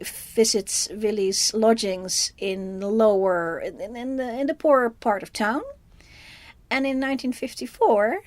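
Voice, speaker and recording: A woman with a Dutch accent giving a casual film review, speaking haltingly as she thinks aloud. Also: fairly high pitch. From Netherlands.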